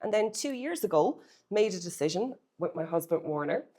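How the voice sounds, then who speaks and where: A woman's voice: fairly low pitch, big pitch swings, normal speed, mellow. A middle-aged Irish woman, co-owner of a property agency, telling her family's relocation story to camera in an earnest, reflective way. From Ireland. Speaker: real estate agency co-owner.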